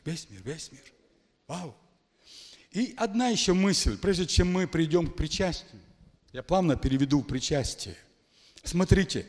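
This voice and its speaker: A man preaching in a church hall, his higher voice ringing out with a long reverb. He swings widely in pitch, dropping to hushed tones and then shouting his exclamations. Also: breathy edge. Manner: emphatic, dramatic swings from quiet to shouting. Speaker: pastor. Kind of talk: charismatic sermon.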